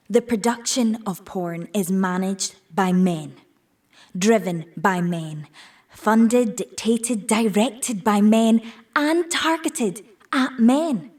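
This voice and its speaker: An actress playing a persuasive, idealistic businesswoman who delivers a measured, rhetorical keynote speech in an audio play. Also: mid-range voice, darkish tone, recorded from a distance. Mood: challenging. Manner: measured, rhetorical, emphatic pauses. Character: persuasive, idealistic businesswoman addressing her industry. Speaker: actor.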